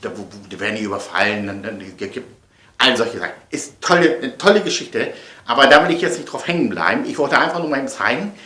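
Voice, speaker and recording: A middle-aged man talks casually to his viewers in a bright, forceful voice. He rambles, repeats phrases and stresses them theatrically. The room is clearly echoey. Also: mid-range voice. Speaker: vlogger and audio play producer.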